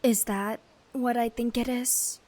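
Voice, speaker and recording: A woman's voice: on the high side, steady tone, slow pace, darkish tone. A woman playing a practical, resolute female cleric in a fantasy audio drama. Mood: serious.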